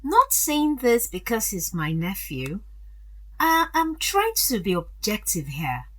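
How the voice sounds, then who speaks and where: An actress playing a wealthy, gracious older aunt in an audio drama, chatty and confiding, with a hesitant, deliberate delivery. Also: female voice, medium pitch, wildly swooping pitch, fairly bright tone, exaggerated voice. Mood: emphatic, fond.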